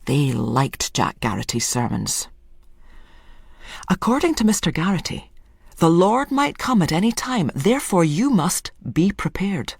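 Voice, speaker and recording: Story narrator, mid-pitched and husky, very varied intonation, measured literary delivery, dry studio sound. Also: no echo.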